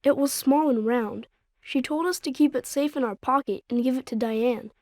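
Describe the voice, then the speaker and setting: A woman's voice: sits high, moderate pace, a little mellow, no room sound, clean studio sound, close to an everyday voice. A woman voicing an innocent little boy in a video game, speaking simply and earnestly.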